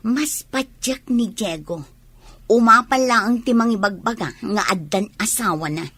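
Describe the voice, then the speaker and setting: A woman's voice: a little high, a little slow, a bit bright, slightly rough, dry recording. An actress with a Filipino accent playing a lovestruck, stubborn young woman in a radio drama. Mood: earnest, insistent. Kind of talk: Ilocano radio drama acting.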